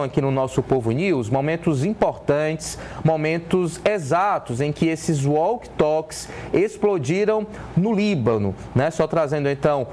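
A man's voice: a little high, darkish tone, slight background noise. A man in his thirties with a Brazilian accent presenting live news from a studio, explaining the story as he goes. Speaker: news anchor. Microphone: lapel mic.

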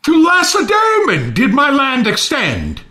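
Man as generous proud lord, Shakespeare audio play, bright forceful voice, slow delivery, hugely varied pitch. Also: wildly swooping pitch, full character voice. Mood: impassioned. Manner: theatrical, swelling declamation with sudden hushed drops. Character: Timon, generous Athenian lord, proud and naively trusting of friends.

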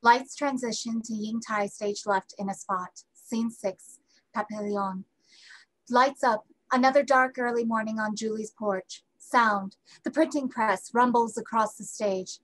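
High-voiced woman reading stage directions. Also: measured pace, a bit bright, poor audio. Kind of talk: scripted narration at a play reading. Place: indoors at home on a video call.

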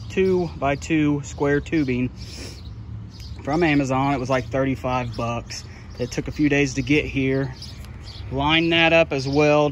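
Plain-spoken man, outdoor noise behind. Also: mid-range voice. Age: middle-aged. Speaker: YouTube channel host, trucking business owner. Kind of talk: casual how-to walkthrough. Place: outdoors beside a trailer. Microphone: handheld phone at arm's length.

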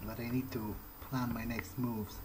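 A man's voice: medium pitch, little pitch movement, normal speed, noisy background, close to an everyday voice. A man voicing a thoughtful, cautious vampire who thinks aloud in a hesitant, understated way during a game playthrough. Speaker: roleplaying video game player. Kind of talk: in-character improvised game playthrough. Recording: game music behind the voice.

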